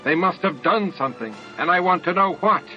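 A tense male space commander, as an audiobook reader voices him, gruff and suspicious. His voice is bright and forceful on a slightly dull, lo-fi recording. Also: high-pitched, expressive, a little fast, faint music behind, pitched above the performer's own voice. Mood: angry, demanding. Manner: gruff, barking, demanding. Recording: dull lo-fi old audiobook tape.

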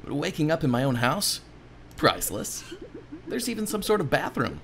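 A man's voice: fairly high pitch, big pitch swings, normal speed, gravelly, a bit bright, slight background noise, heavily acted. A man voicing a plucky, boastful young adventurer in a cartoon game, with theatrical, self-satisfied declarations. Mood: content. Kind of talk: game dialogue read aloud as a character.

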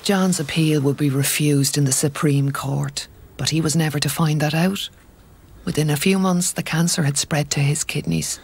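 An actress with an Irish accent playing a sombre, bereaved woman narrating a family tragedy in a measured way. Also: deep voice, steady tone, darkish tone, slightly rough. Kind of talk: scripted drama narration.

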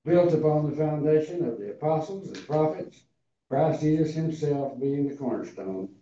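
A man in his eighties with a raspy, tender voice, preaching and slowly reading scripture to a congregation. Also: mid-range voice, warm tone. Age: 80s. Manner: slow, deliberate, halting reading. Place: large church hall before a seated congregation. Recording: roomy, distant, dull hall sound.

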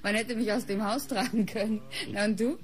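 A woman playing the brisk, chatty lady of the house in a radio crime play. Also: medium pitch, steady tone, natural voice. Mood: brisk, slightly irritable. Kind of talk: German radio crime drama acting.

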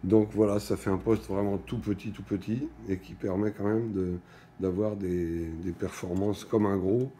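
A middle-aged man giving an unscripted hands-on product demonstration, speaking in halting, stop-and-go phrases with trailing pauses. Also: low voice, steady tone, mellow, slight background noise. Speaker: product presenter. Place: indoors at a wooden table in a small shop or office.

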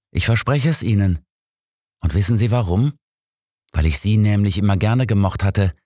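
A man's voice: on the low side, darkish tone, dry recording, studio quality. A man voicing a bitter, mockingly cheerful killer in an audiobook, theatrical and taunting. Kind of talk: audiobook character acting.

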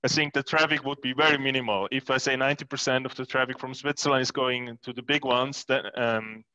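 Brief: thirties man, bright, crisp, dry close headset sound, matter-of-fact. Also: medium pitch, fairly even intonation, fairly quick, no room sound, low-quality recording. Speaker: panelist. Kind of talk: panel discussion over video call. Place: room with plant wall indoors. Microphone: headset mic.

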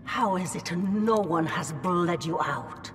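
A menacing blood-magic warrior woman in a fighting game. She is cold and stern, fairly low and slow, set back in a long reverb. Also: expressive. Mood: cold threat. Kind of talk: video game fight intro dialogue. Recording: distant, long reverb, loud music.

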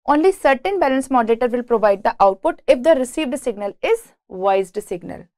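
High-voiced Indian-accented woman lecturing. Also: animated, a little fast, darkish tone, dry recording, studio quality. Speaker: engineering lecturer. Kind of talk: lecture reading and explaining slides. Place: indoor lecture room at a presentation board.

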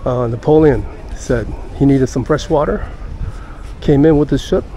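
An older man giving casual walking-tour narration as a travel vlogger, halting and pausing mid-sentence. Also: mid-range voice, warm tone. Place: outdoors in busy city streets and squares. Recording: outdoor city street noise.

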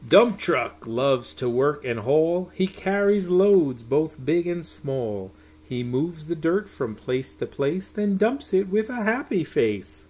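Middle-aged male storyteller for children's picture book: slightly high voice, very lively sing-song delivery, drawn-out words. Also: slightly rough. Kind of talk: expressive read-aloud of a rhyming children's bedtime story. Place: small room at home.

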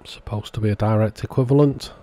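Low-pitched, mellow man narrating a repair. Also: fairly even intonation, fast talker. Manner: matter-of-fact, step-by-step explaining. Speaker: host of a radio repair channel. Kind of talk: informal scripted-sounding voice-over narration of a repair.

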